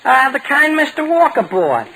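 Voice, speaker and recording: A man's voice: very high-pitched, sing-song, normal speed, bright, forceful tone, heavily acted, poor audio. A man playing a hapless, blundering teenage boy with an American accent, a cracking voice and a hesitant, stammering manner, in 1940s radio comedy style. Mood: nervous, bumbling. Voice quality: cracking, creaky adolescent voice. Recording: hissy vintage 1940 radio recording.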